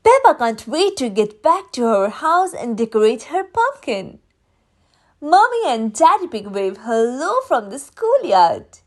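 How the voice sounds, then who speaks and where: A woman reading a children's storybook aloud in a deliberate, expressive, sing-song way. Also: a little high. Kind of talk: read-aloud storybook narration for young children.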